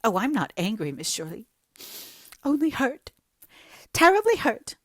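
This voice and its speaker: A woman's voice: high voice, sing-song, moderate pace, no room sound, clean studio sound, voice pushed up. A woman reading a book aloud and voicing a melodramatic, self-pitying young woman with gushing, theatrical talk. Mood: wailing. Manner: gushing, theatrical, breathless overstatement.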